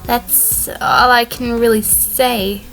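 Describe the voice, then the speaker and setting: A woman's voice: fairly high pitch, steady tone, slow pace, vocal fry, fairly bright tone, soft background music, heavily acted, poor audio. A woman playing an evasive, defensive sheep on the witness stand in a fan courtroom audio drama, explaining carefully.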